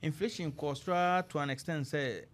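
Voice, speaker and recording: A man's voice: fairly high pitch, moderate pace. A man with a Ghanaian accent hosting a conversational radio interview, interjecting and echoing his guest's points.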